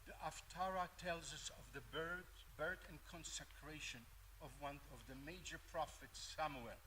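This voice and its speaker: A man's voice: fairly high pitch, unhurried, sharp tone, a little hiss or hum, poor audio. A man with an Israeli accent, explaining the day's scripture reading to a congregation in an earnest, conversational way. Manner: earnest, conversational teaching with small restarts. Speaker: Haftarah reader. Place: synagogue sanctuary.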